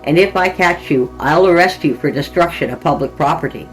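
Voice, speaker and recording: A woman's voice: sits low, fairly quick, faint music behind, natural voice, low-quality recording. A woman voicing a sheepish but firm small-town male constable in a storybook reading, hesitant, polite and official. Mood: mildly warning.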